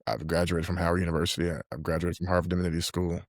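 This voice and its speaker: A man's voice: low voice, little pitch movement, rapid-fire. A man in his late twenties speaking earnestly and reflectively as a guest in a conversational interview, often dropping in "like". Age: late 20s. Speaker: podcast guest, mentor.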